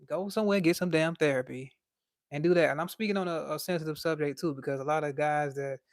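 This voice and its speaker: A man's voice: fairly high pitch, fast talker, dry recording, studio quality. A young man with a light voice, chatting casually on a livestream and reading out chat in a laid-back, slangy way.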